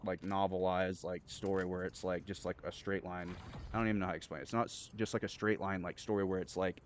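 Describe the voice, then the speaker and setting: A man chatting casually as he streams a game, rambling and repetitive in a halting, slangy way full of filler words. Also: low voice, little pitch movement. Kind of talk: casual rambling chat while gaming. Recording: gunfire and game noise behind. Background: video game gunfire and clicks.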